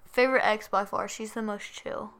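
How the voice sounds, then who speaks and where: A woman's voice: medium pitch, fairly even intonation, normal speed, a bit bright, clear, resonant tone. A teenage girl co-hosting a podcast in casual chat, talking with a slight stutter and laughing easily. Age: teens. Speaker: podcast co-host.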